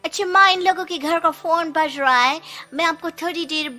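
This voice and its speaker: A woman playing a kind, selfless young daughter-in-law in an animated moral story, speaking earnestly and politely. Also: very high-pitched, moderate pace, faint music behind. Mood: reassuring.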